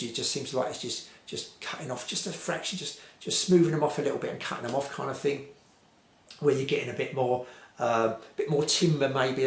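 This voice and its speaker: Man, informal hi-fi reviewer, mid-pitched voice, rambling and repetitive, unscripted, roomy echoey home recording. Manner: rambling, hedged, repetitive phrasing. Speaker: vintage hi-fi reviewer.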